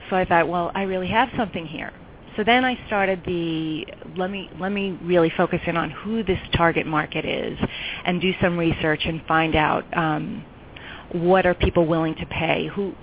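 A middle-aged woman from New York, telling her story chattily as a guest in a round-table interview, with frequent ums. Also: lowish voice. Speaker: guest entrepreneur. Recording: tinny phone line with faint noise.

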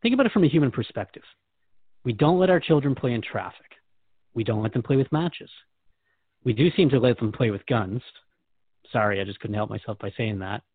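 A man's voice: mid-range voice, expressive, fairly quick, pauses often, husky, no room sound, phone-quality sound. Wry male host in a dry room. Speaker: host and questioner. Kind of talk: conversational interview.

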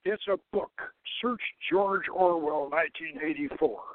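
A man's voice: a little high, a little slow, dry recording, natural voice. Man playing a sardonic, condescending dystopian bureaucrat. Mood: lecturing. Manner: condescending, lecturing, officious. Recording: narrowband radio-like sound, dry.

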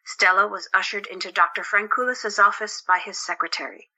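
A woman's voice: medium pitch, steady tone, brisk, sharp tone, rough recording. A woman narrating a scripted story as the narrator of an audio drama.

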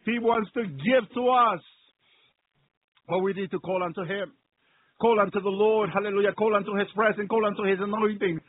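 High-voiced man preaching with fervor. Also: fairly even intonation, moderate pace, phone-quality sound. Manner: exclamatory and repetitive, punctuated with praise interjections. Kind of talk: fervent extemporaneous sermon with prayer.

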